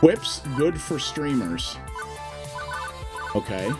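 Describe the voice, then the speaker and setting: A man's voice: mid-range voice, very animated, very slow, music in the background. A man casually thinking aloud as he streams his first look at a game, chatting through the menus and settings. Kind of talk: casual live game first-impressions commentary.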